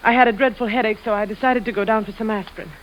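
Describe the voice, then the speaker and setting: A woman's voice: a little high, fairly even intonation, rapid-fire, close to an everyday voice. A woman acting a secretive, insistent wife in an old radio suspense play. She sounds guarded and defensive on a muffled recording with slight hiss. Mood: evasive. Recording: dull, hissy vintage radio recording.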